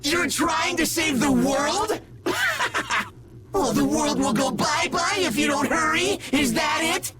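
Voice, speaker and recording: An actor playing a deranged, battle-hungry villain in an anime video game, taunting in a theatrical, maniacal, sing-song way. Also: male voice, very high-pitched, expressive, takes it slowly, loud music behind the voice, exaggerated voice. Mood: manic taunting. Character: deranged, battle-hungry male villain obsessed with his rival.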